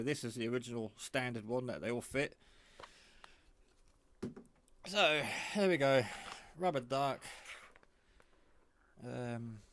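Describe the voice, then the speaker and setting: A man giving a casual, off-the-cuff product demo, rambling in a low-key way as he thinks aloud. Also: fairly bright tone, slight background noise. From England. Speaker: hobbyist reviewer.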